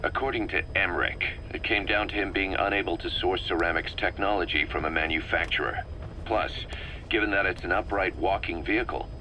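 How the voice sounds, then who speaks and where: A man's voice: low voice, bright, forceful tone, a little hiss or hum, poor audio. A man voicing a knowledgeable technical advisor explaining weapons technology in a video game.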